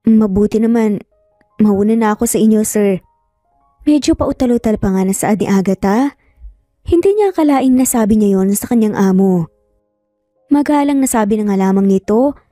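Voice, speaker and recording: A woman's voice: on the high side, dark tone, no room sound, studio quality. A woman storyteller giving a scripted, dramatic narration of a Tagalog romance story. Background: background music.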